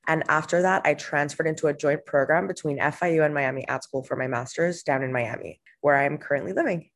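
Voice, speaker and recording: A woman's voice: low-pitched, fast talker. A young woman from Honduras, a podcast guest talking casually with frequent fillers and restarts. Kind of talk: casual interview answers.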